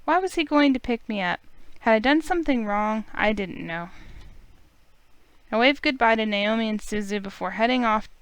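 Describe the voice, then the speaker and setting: Female playthrough host narrating a visual novel: clear, smooth voice, close and dry. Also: fairly high pitch, expressive, moderate pace, no echo. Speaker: host reading a game playthrough. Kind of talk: expressive story narration read aloud.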